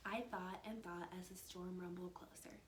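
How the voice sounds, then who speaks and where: Expressive woman reading a storybook, roomy echo. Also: lowish voice, normal speed. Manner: animated, dramatic, with hushed asides to young listeners. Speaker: teacher reading aloud to first graders. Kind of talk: expressive children's storybook read-aloud. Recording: roomy echo with faint background noise.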